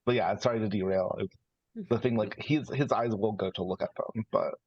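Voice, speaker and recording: A man's voice: lowish voice, a little fast, husky, no room sound, dull audio. A man playing a tabletop role-playing game, describing his character in a casual, tentative, wordy way.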